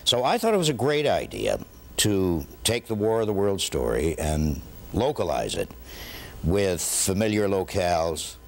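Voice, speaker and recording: A middle-aged American man recalling the past in an interview, wordy and halting. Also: low voice, huge pitch swings, creaky. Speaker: interview guest. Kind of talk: interview recollection.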